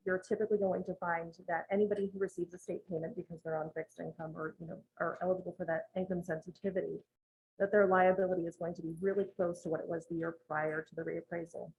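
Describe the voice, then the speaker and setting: A woman advising a town board on budgeting, explaining rules in a measured, methodical way with frequent ums, in a town meeting room. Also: fairly low pitch, vocal fry, darkish tone, husky, rough recording. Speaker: municipal finance advisor presenting to a town board.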